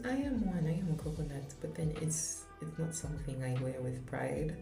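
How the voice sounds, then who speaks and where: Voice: medium pitch, creaky. A young adult vlogger from Zambia, speaking in an earnest, candid opinion monologue with light laughs. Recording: echoey room with background music.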